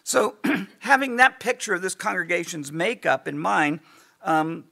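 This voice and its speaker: Hoarse, gravelly-voiced male preacher. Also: high-pitched, a little slow, bright, forceful tone. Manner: conversational, thinking aloud with asides. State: losing his voice, clearing throat often. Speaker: pastor teaching a Bible class. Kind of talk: sermon-style Bible lecture.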